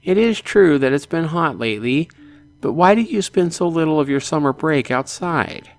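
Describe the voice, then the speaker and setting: A man's voice: a little high, lively intonation, moderate pace, pitched above the performer's own voice, close to an everyday voice. A man voicing a doting, fussing middle-aged mother in a visual novel, cajoling and theatrical. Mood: chiding, cheerful.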